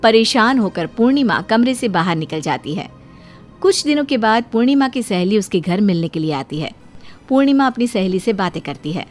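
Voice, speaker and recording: A woman's voice: medium pitch, lively intonation, normal speed. A woman narrating a scripted family story as a storyteller. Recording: music under the voice.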